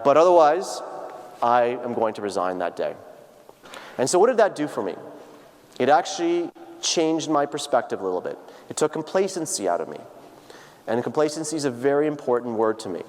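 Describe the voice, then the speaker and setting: Man, 30s, lecturer, earnest and personal, stumbling restarts, mid pitch, long hall echo. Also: darkish tone. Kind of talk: plenary lecture to students.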